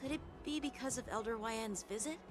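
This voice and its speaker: A woman's voice: a little high, brisk, natural voice. A woman voicing an earnest, proud young female retainer in a video game, speaking formally, with music behind her. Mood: thoughtful. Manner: formal, earnest, self-reproaching. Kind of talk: scripted video game acting.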